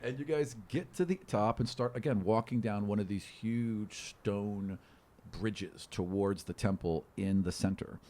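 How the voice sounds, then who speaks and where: A man working as game master, improvising narration in a casual, halting way as he sets the scene. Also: fairly low pitch. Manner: casual, halting, animated storytelling with sound imitations.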